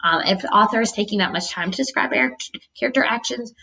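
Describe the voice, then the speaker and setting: A woman teacher reads a children's novel aloud in an expressive, instructive manner, pausing to explain to students. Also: on the high side, vocal fry. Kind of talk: storybook read-aloud with teaching asides.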